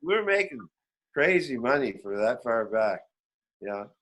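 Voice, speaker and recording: A Canadian man in his seventies telling rambling, animated stories in a casual, reminiscing way as an interview guest. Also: mid-range voice, normal speed. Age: 70s. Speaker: veteran musician, interview guest. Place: remote online call.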